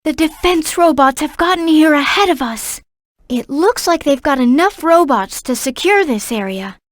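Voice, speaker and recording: Sweet earnest little game girl, really high voice, polite and caring, dry close sound. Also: animated, moderate pace, no room sound. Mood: earnest, concerned. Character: gentle, earnest, worried young girl, a kind helper. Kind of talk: video game voice acting.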